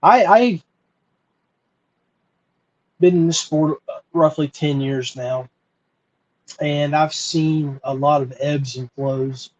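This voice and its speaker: An American man hosting a podcast, talking informally and earnestly with frequent pauses. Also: fairly high pitch, dull audio. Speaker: podcast host. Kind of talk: informal solo podcast talk.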